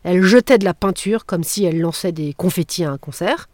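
A woman's voice: on the low side, fairly quick, darkish tone, no echo. A woman hosting a podcast, reading scripted narration with playful, jokey asides into a close mic. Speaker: podcast host.

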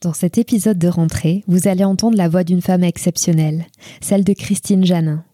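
A woman's voice: on the low side, steady tone, moderate pace, mellow, slight background noise. A woman hosting an interview podcast, presenting and putting questions to her guest. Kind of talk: scripted podcast introduction and interview.

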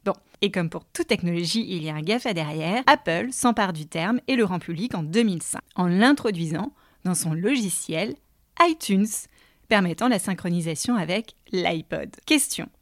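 A woman's voice: lowish voice, lively intonation, normal speed, no room sound, clean studio sound. A woman hosting a podcast lesson, explaining in a playful, teasing way and addressing listeners directly. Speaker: podcast host and coach.

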